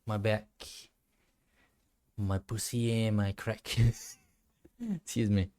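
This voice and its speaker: A man's voice: on the low side, level tone, very slow, mellow. A man streaming a game with casual solo commentary, often muttering to himself and casually swearing.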